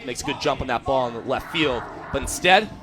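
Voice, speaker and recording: A man's voice: medium pitch, fairly quick. Emphatic American man giving baseball analysis. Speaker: color commentator. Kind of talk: live baseball analysis commentary.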